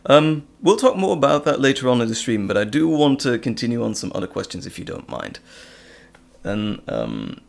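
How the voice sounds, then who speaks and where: A man's voice: mid-range voice, very animated, normal speed, creaky. A man from Belgium with a Flemish accent whose first language is Dutch, hosting a live stream in casual, stop-start chat and laughing often. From Flemish region of Belgium.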